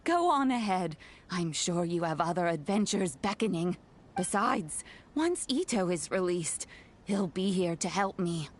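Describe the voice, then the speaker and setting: A woman voicing a kindly old granny in a video game, gentle, reassuring and proud of those she cares for. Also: fairly low pitch, expressive, measured pace, slight background noise. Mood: fond, proud. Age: older. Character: kindly, proud, doting old granny who raised an oni.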